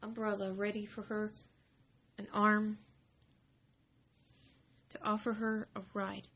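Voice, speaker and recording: A woman narrating a story informally, halting and deliberate, with dramatic pauses between short phrases. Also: medium pitch, level tone, a bit bright, husky.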